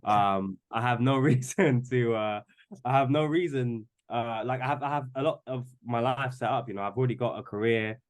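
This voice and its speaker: A man's voice: mid-range voice, moderate pace. A man in his twenties, a podcast guest, talking casually with many restarts and fillers. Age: 20s. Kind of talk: casual chat.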